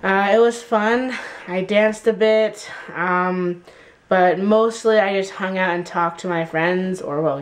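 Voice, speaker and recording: A teenage boy with a stuffy, nasal voice from a cold, chatting in a rambling, self-deprecating daily vlog to a camera held at arm's length. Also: sits high. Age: teens. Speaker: vlogger. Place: rooms of a house and outdoors. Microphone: handheld camera at arm's length.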